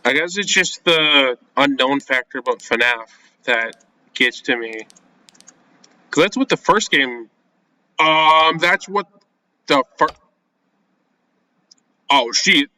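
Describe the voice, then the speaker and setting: A man's voice: fairly high pitch, expressive, slow pace, long pauses, bright, forceful tone, poor audio. A young man playing a game on video, reading its text aloud and reacting in casual, jumpy slang as he talks to himself. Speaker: gaming video creator.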